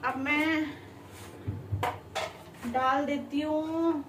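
Chatty high-voiced young woman vlogger. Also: steady tone, very slow, background noise. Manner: chatty, talks straight to camera while cooking. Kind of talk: casual vlog chat and cooking talk. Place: small home kitchen and living room indoors.

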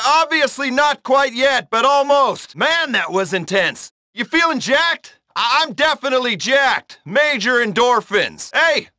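An actor playing a vain, hyperactive, fitness-obsessed secret agent in a video game, breezy and clipped. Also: male voice, extremely high pitch, sharp tone, no echo, studio quality, heavily acted.

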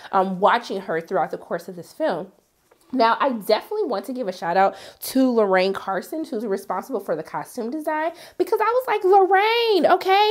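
A young woman reviewing a film in a sassy, animated way, her voice strained from being sick. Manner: sassy, animated, jumps high for quoted asides. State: sick, voice partly lost. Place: indoor studio, graphic backdrop.

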